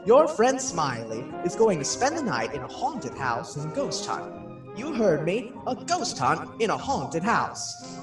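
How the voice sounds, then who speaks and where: A brash, chatty disc jockey from a 1940s radio play. His delivery is showy and teasing, with very lively swings in pitch. He sounds set back from the microphone in an echoing room, with music clearly behind him. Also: unhurried, full character voice. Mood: teasing, excited. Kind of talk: old-time radio DJ patter, radio acting. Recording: roomy echo, distant, music behind.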